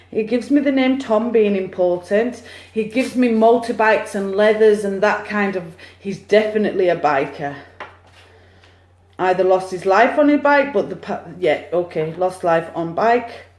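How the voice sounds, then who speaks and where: Woman medium on a phone livestream, bubbly and chatty, echoey room. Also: medium pitch. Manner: chatty, excitable, cheering on share counts. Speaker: psychic medium. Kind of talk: live-streamed card reading.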